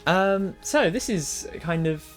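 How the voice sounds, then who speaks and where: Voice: mid-range voice, expressive, slow pace. A person chatting casually and a little hesitantly as a series co-creator answering fan questions. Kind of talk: casual Q&A chat. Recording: music playing behind the voice. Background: background music.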